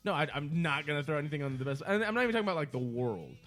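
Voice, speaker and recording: Animated man ranting on a podcast. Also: on the high side, rapid-fire. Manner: animated, swears, mimics people he argues with. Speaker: podcast co-host. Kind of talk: casual chat.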